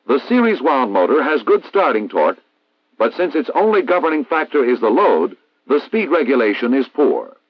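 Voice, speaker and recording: An American man reading a scripted instructional narration in a formal, measured, didactic way, as in a 1960s military training film. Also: medium pitch, big pitch swings, no echo. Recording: dull vintage 1960s film soundtrack.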